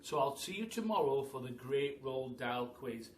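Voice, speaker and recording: A man giving an animated, theatrical storybook reading for children. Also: mid-range voice, normal speed. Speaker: primary school storyteller. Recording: roomy echo, distant mic, faint noise.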